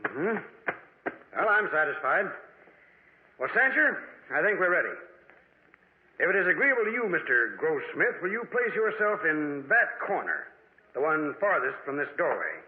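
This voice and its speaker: Casting: courteous but sinister duel second, old radio chiller, lightly high voice with animated pitch, thin vintage sound. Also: male voice, narrow, tinny audio. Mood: formal, ominous. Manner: polite, deliberate, commanding. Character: formal, composed gentleman duel second, orchestrating a sinister scheme. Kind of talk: 1940s radio horror acting. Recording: thin, band-limited vintage radio recording.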